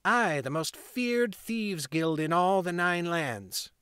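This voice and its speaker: A man voicing a cocky young street pickpocket boy who boasts loudly. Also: expressive, slow, clean tone, no echo, studio quality, heavily acted. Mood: boastful. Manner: boastful, high childish bluster.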